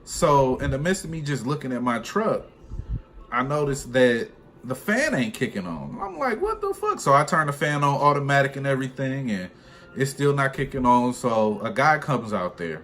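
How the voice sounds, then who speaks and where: A man's voice: medium pitch, moderate pace. A young man who drives trucks, telling a personal story in a casual, slangy, conversational way for a vlog. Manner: slangy, conversational, animated retelling. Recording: clearly noisy room ambience.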